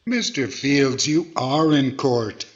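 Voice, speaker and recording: Male actor for formal comic judge, unhurried and measured, vintage radio style on a lo-fi recording. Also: medium pitch, close to an everyday voice. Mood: stern. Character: stern, measured male judge, easily flattered. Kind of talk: 1940s radio comedy acting. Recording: dull band-limited sound.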